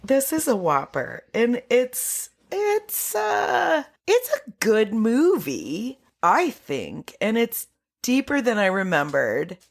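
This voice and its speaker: A woman's voice: on the high side, huge pitch swings, slow, gravelly. A woman co-hosting a podcast, chatting casually about films in an informal, jokey way with some swearing. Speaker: podcast co-host. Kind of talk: casual film review chat.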